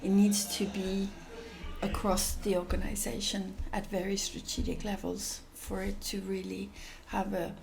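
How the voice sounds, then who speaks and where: A woman's voice: mid-range voice, completely flat delivery, measured pace, vocal fry, low-quality recording. A woman from Denmark, an NGO executive director, answering interview questions thoughtfully with many fillers and restarts.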